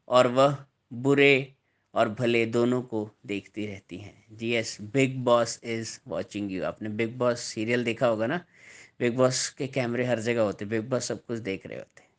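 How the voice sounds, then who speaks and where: A man preaching a sermon, deliberate and emphatic, repeating and explaining phrases as he reads scripture. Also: medium pitch.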